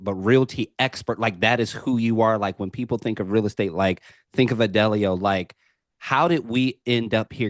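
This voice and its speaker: A man's voice: mid-range voice, no echo, clean studio sound. A man hosting a podcast, welcoming his guest with enthusiastic, looping casual talk. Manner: enthusiastic, repeats words, frequent likes. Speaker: podcast host. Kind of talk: casual interview.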